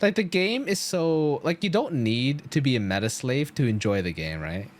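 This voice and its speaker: An enthusiastic man chats casually as a live game streamer, his pitch swinging up and down freely. His talk is slangy and rambling, with a middle-register voice. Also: big pitch swings, normal speed. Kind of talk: casual chat with stream viewers.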